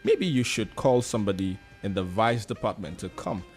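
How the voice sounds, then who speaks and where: A man playing a grave, reassuring clinic doctor in a radio drama, explaining things in a measured way with a Nigerian accent. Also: lowish voice, faint music behind, close to an everyday voice.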